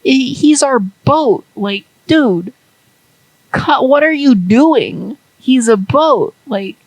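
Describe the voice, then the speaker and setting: A woman voicing an exasperated, bossy business partner who scolds loudly. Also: sits high, lively intonation, slow pace, dry recording, voice pushed up, full character voice, rough recording.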